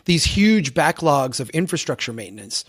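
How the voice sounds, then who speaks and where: A man's voice: fairly high pitch, very animated, moderate pace, a bit bright, breathy edge. An American man in his forties explaining his views earnestly and with conviction as an interview guest. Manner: earnest, opinionated, uses rhetorical contrasts. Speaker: podcast guest, advocate for towns.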